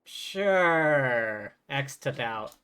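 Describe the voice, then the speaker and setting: Casting: casual gameplay streamer, medium-pitched voice, wry and brief remarks. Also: lively intonation, extremely slow, a bit bright, a little hiss or hum. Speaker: game streamer. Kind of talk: casual live gameplay commentary.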